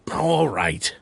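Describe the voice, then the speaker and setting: A man voicing a nervous, evasive, polite gentleman in a video game, gravelly and hesitant. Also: mid-range voice, a little hiss or hum, heavily acted. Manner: hesitant, awkward.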